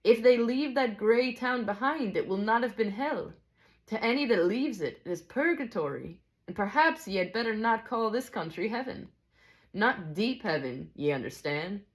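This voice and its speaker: Lady doing a wise old scottish guy, pitched up, slow careful teacher talk, storybook character. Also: expressive, natural voice. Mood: patient, instructive. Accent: attempted Scottish. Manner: deliberate, didactic, old-fashioned phrasing. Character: old weather-beaten, godlike Scottish man, wise patient teacher.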